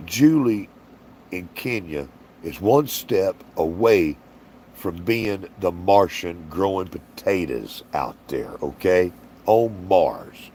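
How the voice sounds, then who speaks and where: A slow, unhurried man chatting on a livestream, folksy and rambling as he reads out viewer comments. His voice sits very low and sounds tired and strained. A faint hum of background noise stays under him. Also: lively intonation, warm tone. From Alabama, US. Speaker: livestream host. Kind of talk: casual livestream Q&A chat.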